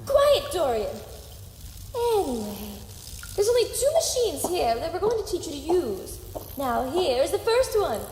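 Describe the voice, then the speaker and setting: Genteel female scientist, chiding and scatterbrained, very lively intonation, hall reverb, comic audio drama. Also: very high voice, a little slow, full character voice. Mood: chiding, brisk. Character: bright, scatterbrained, bossy lady scientist. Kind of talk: sci-fi comedy audio drama acting. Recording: echoey hall, faint background noise.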